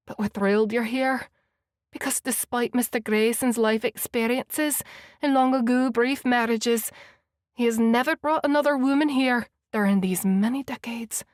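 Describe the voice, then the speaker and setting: A woman's voice: on the high side, no room sound, clean studio sound, lightly acted. Woman doing a fussing Scottish housekeeper. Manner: chatty, doting, brisk. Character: kindly middle-aged Scottish housekeeper woman, fussing and motherly. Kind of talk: audiobook character voice.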